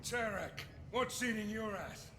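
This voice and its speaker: An actor playing a cocky, unbothered, sardonic veteran mercenary in a video game. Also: male voice, high voice, a little slow, echoey room, in a large hall, noisy background.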